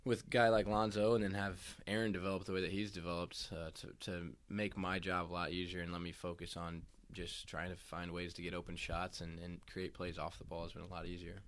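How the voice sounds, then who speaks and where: A young man with a low voice answers interview questions in an even, flat delivery. He talks haltingly and restarts his phrases, repeating words as he goes. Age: young adult. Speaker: college basketball player.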